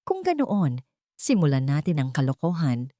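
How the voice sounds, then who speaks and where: A woman voicing a cold, deliberate woman investor returned for revenge in a story. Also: low voice, huge pitch swings, mellow, no room sound, clean studio sound. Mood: cold, defiant.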